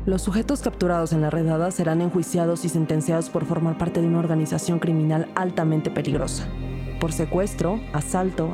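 A woman's voice: on the low side, close to an everyday voice. An actress playing a loyal, resolute female police detective in an audio drama, earnest and protective. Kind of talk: audio fiction acting and scripted narration.

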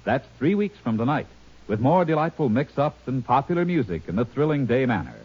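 A husky male announcer delivers scripted promos with animated swings in pitch. It has the dull tone of 1950s radio. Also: a little fast. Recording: muffled old radio recording.

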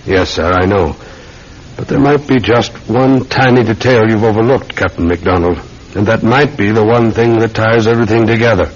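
Actor as low-voiced, earnest police sergeant. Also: male voice, close to an everyday voice. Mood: firm, urgent. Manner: polite, deliberate, insistent questioning. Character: earnest, methodical, deferential Scotland Yard police sergeant. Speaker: actor in a radio mystery serial. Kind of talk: classic radio drama acting. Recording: muffled old radio recording with faint hiss.

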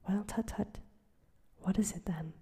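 A woman's voice: mid-range voice, measured pace, mellow. A woman whispering as a crabbed old editor. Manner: whispered, breathy delivery. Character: crabbed old male newspaper editor, gruff but kindly.

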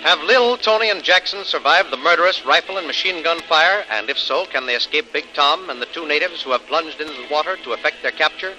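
High, piercing male radio announcer. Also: expressive, brisk, few pauses, bright, forceful tone, soft background music, poor audio. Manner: emphatic, theatrical. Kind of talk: dramatic 1930s radio announcing, scripted.

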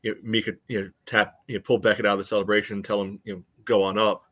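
A man's voice: lowish voice, flat delivery, quick pace, a bit bright, no room sound. A man giving casual sports analysis on a podcast, rambling along and peppering it with filler phrases. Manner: rambling, peppered with "you know".

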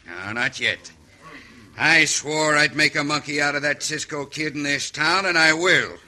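An actor playing a cruel, taunting outlaw gang boss in an old radio western, with a folksy American cowboy drawl. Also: male voice, fairly high pitch, a little slow, sharp tone. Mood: vengeful.

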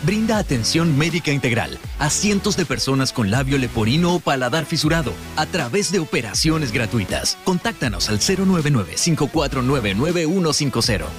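A man's voice: on the high side, sing-song, moderate pace, hardly any pauses. A man reading a scripted public-service radio announcement. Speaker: advert announcer. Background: background music.

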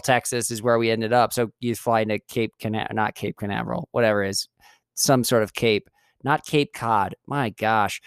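Smooth clear-voiced dude rambling on his podcast, keeps going off on tangents, middle pitch. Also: no room sound, studio quality. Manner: chatty, digressive, self-correcting. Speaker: podcast host. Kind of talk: rambling solo podcast talk. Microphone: studio mic.

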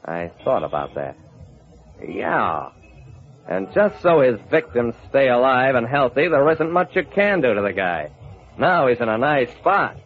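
A shrewd, hard-boiled detective from vintage radio. He sounds husky and confident, with lively, wry delivery, on a muffled narrow-band recording. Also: mid-range voice, measured pace. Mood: wry, brooding. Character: shrewd, hard-boiled male investigator telling his case. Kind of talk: old-time radio detective narration and acting.

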